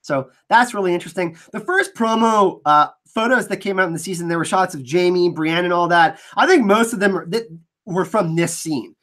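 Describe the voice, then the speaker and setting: A man hosting a fan channel, breaking down trailers in casual commentary. He is an excitable, enthusiastic fan, and his voice is slightly scratchy from allergies. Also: sits high, moderate pace.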